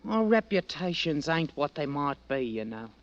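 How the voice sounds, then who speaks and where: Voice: mid-range voice, sing-song, moderate pace, natural voice. An actor playing an eager, cocky young drummer boy with a Cockney accent in 1940s radio drama style. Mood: indignant, boastful. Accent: Cockney English. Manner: brash, pleading, deferential sirs. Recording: dull lo-fi vintage radio recording.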